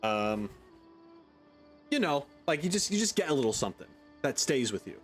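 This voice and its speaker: A man with an average-pitched voice doing casual gaming commentary. He rambles and thinks out loud tentatively, with faint music behind him. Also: big pitch swings, moderate pace. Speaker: gaming content creator.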